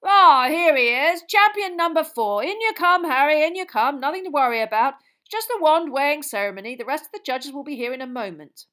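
A woman voicing a boisterous, jolly middle-aged male official who talks loudly and heartily. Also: high-pitched, lively intonation, a little fast, hardly any pauses, voice pushed up. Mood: jovial. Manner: loud, hearty, exclaiming.

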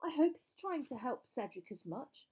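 A woman voices an earnest teenage girl from a storybook. She is prim and proper, pushing her points insistently in a high, lively voice with a disapproving edge. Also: moderate pace, slightly rough, heavily acted.

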